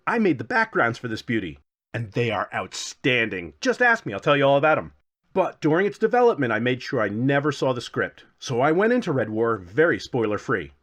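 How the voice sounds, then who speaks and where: A man's voice: a little high, a bit bright. A man narrating a scripted comedic review as host, with a theatrical, wry, self-deprecating delivery.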